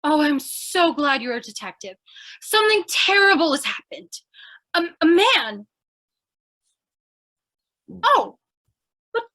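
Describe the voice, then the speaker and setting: Actress doing a ditzy eager lady reporter for old time radio mystery, swoopy high voice, flustered. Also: very animated, takes it slowly, long pauses, bright, forceful tone, exaggerated voice. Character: plucky, scatterbrained young woman newspaper reporter. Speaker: voice actor.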